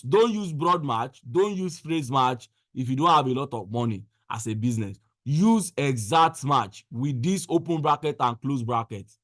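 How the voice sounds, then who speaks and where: A man presenting a screen-share software tutorial in an instructional way, repeating phrases for emphasis. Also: mid-range voice, dry recording, studio quality. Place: indoors, voice-over on a screen recording.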